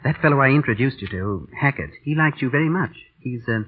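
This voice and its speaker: An actor playing a courteous, well-connected gentleman who talks politely and suavely, in an old radio drama. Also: mid-range voice, lively intonation, quick pace. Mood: courteous, charming. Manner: polite, smooth-talking. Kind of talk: 1940s radio drama acting. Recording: tinny narrow-band vintage radio recording.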